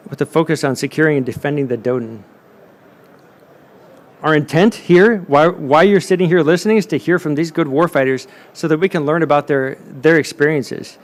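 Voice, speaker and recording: A man with a slightly higher voice moderates a conference panel. He speaks emphatically, restating words as he builds toward each point.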